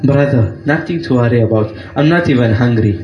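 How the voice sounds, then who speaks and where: A man playing a calm, patient pious sheikh who answers with gentle, unhurried reassurance, in an echoey room with noise behind. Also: mid-range voice, dull audio, close to an everyday voice.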